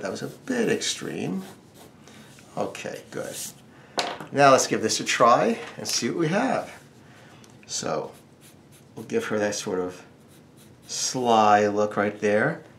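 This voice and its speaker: A man's voice: mid-range voice, vocal fry, slight background noise. A man teaching a casual step-by-step drawing tutorial, with wry, joking asides. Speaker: drawing instructor.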